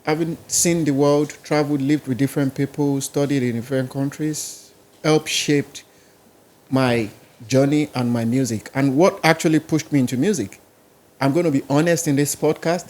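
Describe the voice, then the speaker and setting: A man's voice: a little high, fairly even intonation, moderate pace, darkish tone. Nigerian man telling his story casually. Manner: rambling, casual, listing places and languages. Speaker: guest musician. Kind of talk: podcast interview.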